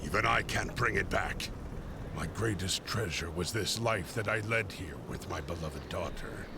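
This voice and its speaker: An actor playing a bitter, grieving undead pirate captain in a video game, brooding and menacing with a growling, theatrical delivery. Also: male voice, low-pitched, moderate pace, noisy background. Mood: mournful, brooding. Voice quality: gravelly, growling. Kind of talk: video game cutscene acting. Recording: music behind.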